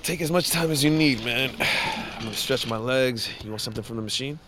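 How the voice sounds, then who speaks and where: A man's voice: medium pitch, a little fast, noisy background, close to an everyday voice. A man playing a brash, blunt coworker who talks in bro-ish slang in an audio drama. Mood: casual concern. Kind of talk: naturalistic audio drama dialogue.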